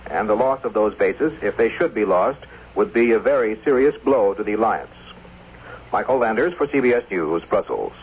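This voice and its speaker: A man's voice: on the low side, lively intonation, normal speed, breathy edge. A man filing a scripted foreign news report over a tinny line on vintage radio.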